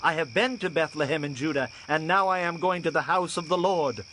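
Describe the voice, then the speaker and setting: An actor playing an earnest traveling Levite husband in a dramatized scripture reading. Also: male voice, on the high side, fairly quick, fairly bright tone, slight background noise, close to an everyday voice. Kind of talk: dramatized scripture audio acting.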